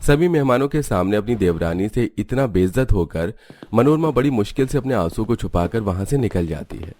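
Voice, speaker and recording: A man's voice: fairly low pitch, lively intonation, fairly quick, darkish tone, no echo. A man reading scripted narration for a cartoon story.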